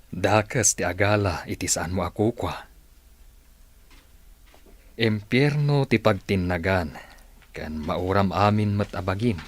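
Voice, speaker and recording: A Filipino man playing a stern, moralizing office boss in a radio drama, admonishing and preachy. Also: low-pitched.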